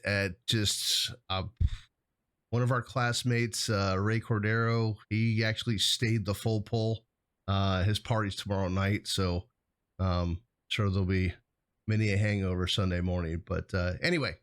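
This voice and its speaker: A man's voice: lowish voice, fairly even intonation, unhurried, a bit bright. A middle-aged man hosting a show in casual chat, rambling a little with a jokey, hesitant delivery. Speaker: show host.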